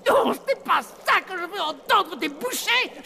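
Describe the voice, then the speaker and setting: A woman voicing an excitable, forgetful zombie scientist uncle in a French cartoon, with a theatrical, exclamatory delivery. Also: very high-pitched, moderate pace, creaky, a loud crowd, exaggerated voice. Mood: pleading, coaxing. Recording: music behind the voice.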